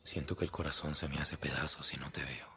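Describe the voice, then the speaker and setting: An actor playing an ardent man madly in love, breathy and intimate, in a romantic radio drama. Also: a bass voice, fairly even intonation, a little fast, pushed and bright, lo-fi sound, a little hiss or hum. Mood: tender, pleading.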